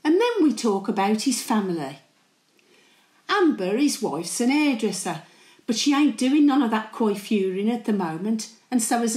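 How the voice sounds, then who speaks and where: An older woman playing a chatty, cheeky, warm-hearted working-class Birmingham great-grandmother, gossiping and rambling through a monologue. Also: on the high side, echoey room. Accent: Birmingham (Brummie). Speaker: actor performing a dramatic monologue.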